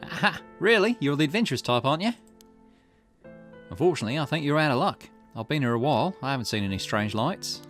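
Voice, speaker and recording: A man's voice: medium pitch, expressive, quick pace, fairly bright tone, soft background music, pitched above the performer's own voice, lightly acted. A man voicing a cagey, theatrical stranger in a visual novel, with a teasing, mock-polite manner. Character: cagey, theatrical, shady male stranger loitering at night.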